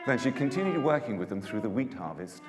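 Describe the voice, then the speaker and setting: A man narrating a dramatised Bible story with expressive storytelling delivery. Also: mid-range voice, fast talker, over music. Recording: echoey hall reverb.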